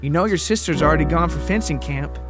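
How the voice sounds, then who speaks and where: A man's voice: fairly high pitch, fast talker, over music, close to an everyday voice. Man putting on Penny, a bossy storybook mom. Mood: firm, motherly. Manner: coaxing, bossy, folksy. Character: Penny, firm, no-nonsense mother waking her son.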